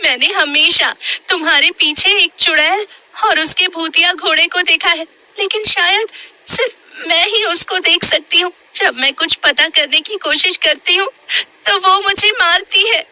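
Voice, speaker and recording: A frightened young girl in a horror storybook, voiced by a woman. She pleads earnestly in an extremely high, piercingly bright voice. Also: a little slow, heavily acted, rough recording. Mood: distressed.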